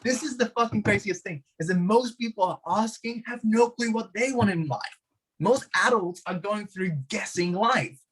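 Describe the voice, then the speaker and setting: A man's voice: high voice, moderate pace, fairly bright tone, breathy edge, rough recording. A young man from Hungary with a Hungarian accent, a podcast guest talking with passion about life choices, swearing for emphasis and coming back to his motto. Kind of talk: motivational interview talk.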